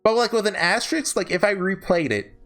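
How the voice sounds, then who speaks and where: A man's voice: sits high, lively intonation, fairly quick, a bit bright. A man giving casual, off-the-cuff ranking commentary, rambling and often backtracking mid-sentence, with emphatic, enthusiastic fan opinions. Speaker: host ranking visual novels. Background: background music.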